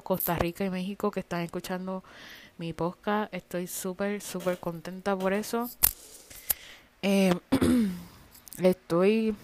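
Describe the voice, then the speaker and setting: A woman's voice: lowish voice, a little mellow. A woman from Puerto Rico with a Puerto Rican accent, hosting a casual solo podcast, rambling with many fillers and some cursing. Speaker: podcast host.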